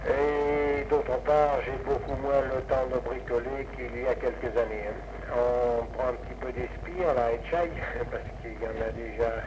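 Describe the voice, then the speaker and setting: A man's voice: mid-range voice, fairly even intonation, unhurried, few pauses. A man, chatting casually and hesitantly as an amateur radio operator over a radio transmission, rambling along. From France. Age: 50s. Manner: rambling, hesitant, chuckling asides. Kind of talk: casual ham radio contact. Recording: noisy, muffled 1960s amateur radio recording.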